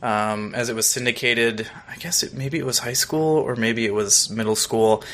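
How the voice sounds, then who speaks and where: A man's voice: medium pitch, normal speed, a bit bright. An American man, around his thirties, chatting casually as a podcast co-host in a rambling, joking way. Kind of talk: casual chat, reviewing a TV episode.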